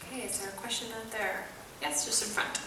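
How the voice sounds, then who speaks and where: Brisk woman moderator, echoey hall. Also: fairly high pitch, steady tone. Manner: brisk, organizing. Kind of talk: hosting audience Q&A. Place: large theatre. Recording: echoey theatre from far off, noisy.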